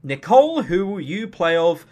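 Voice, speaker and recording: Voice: mid-range voice, big pitch swings, unhurried, darkish tone, dull audio. An opinionated reviewer giving informal game commentary, blunt and sweary, with comic exaggeration. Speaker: visual novel reviewer and channel host. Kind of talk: informal video review commentary, scripted in parts.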